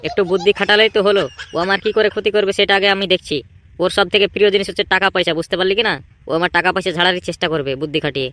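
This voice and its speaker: A woman's voice: medium pitch, fairly even intonation, rapid-fire, fairly bright tone, no echo, close to an everyday voice. A woman voicing an arrogant male gang boss in a comedy cartoon, swaggering and dismissive. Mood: smug, dismissive. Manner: swaggering, challenging.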